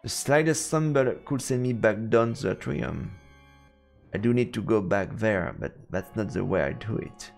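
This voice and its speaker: Gravelly low male storyteller, heavy echo. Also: moderate pace. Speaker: let's play streamer narrating. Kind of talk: dramatic story narration. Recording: echoey, long reverb, music behind. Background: background music.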